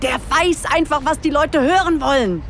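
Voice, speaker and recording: An actress playing a brisk, secretive female campaign handler in a political satire radio play. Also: high-pitched, a bit bright, a little hiss or hum. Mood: conspiratorial.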